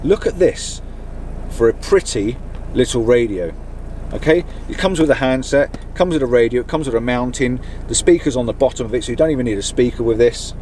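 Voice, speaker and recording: A man's voice: mid-range voice, expressive, fairly quick, dark tone. A middle-aged British man giving a casual, enthusiastic product review to camera in a chatty, informal way. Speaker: vlogger reviewing gear. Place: inside a campervan. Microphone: camera on a mount in the van. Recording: background noise inside a vehicle.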